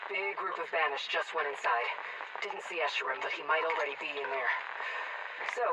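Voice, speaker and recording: A woman's voice: deep voice, a little fast, lightly acted. An actress playing a brave, wry female super-soldier in a video game audio drama. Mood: wry, focused. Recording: noisy radio-style field log.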